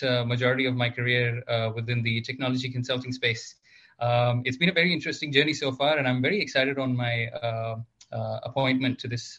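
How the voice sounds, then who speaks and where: A man from Malaysia and Singapore, a technology executive answering interview questions in a measured way with frequent fillers. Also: mid-range voice, a bit bright. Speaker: guest, technology consulting executive. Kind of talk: business interview.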